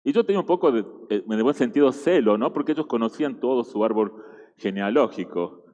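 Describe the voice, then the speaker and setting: A man's voice: medium pitch, lively intonation, a little fast, a little mellow, big-room sound, highs cut off. A man from Argentina with an Argentine Spanish accent, giving a chatty sermon full of restarts and tag questions. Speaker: guest preacher. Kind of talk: conversational sermon.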